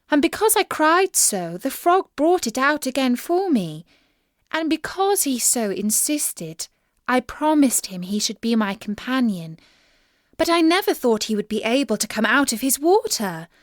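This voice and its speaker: A dainty young storybook princess, played by a woman, speaks in a raised pitch. She is spoiled and easily upset, and uses formal, old-fashioned phrasing. The recording is dry. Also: dry recording. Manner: formal old-fashioned speech, high and protesting. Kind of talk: children's storybook character voice.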